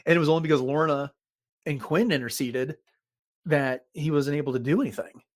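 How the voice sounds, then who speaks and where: A man's voice: on the high side, fairly even intonation, fairly quick. Man chatting casually as a podcast host. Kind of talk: casual discussion recapping and reviewing a story.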